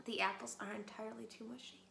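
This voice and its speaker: A woman's voice: on the high side, fairly even intonation, fast talker, creaky, bright, forceful tone, exaggerated voice. A woman voicing a stern, weary mother wolf who sighs and brushes things off. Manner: sighing, dismissive. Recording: roomy echo, faint background noise.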